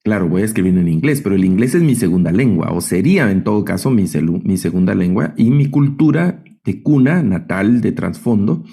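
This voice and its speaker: Man, Guatemalan accent, mellow low voice, didactic lecture, frequent tag questions. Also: expressive, a little fast, gravelly, few pauses, breathy edge. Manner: didactic, often ends phrases with tag questions. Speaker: Bible course professor.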